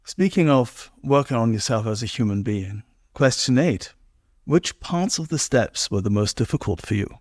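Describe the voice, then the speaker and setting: A man speaks as the host of an interview podcast. His voice has an average male pitch, and he talks in a conversational way with his guest. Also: animated, normal speed, hardly any pauses, a little mellow.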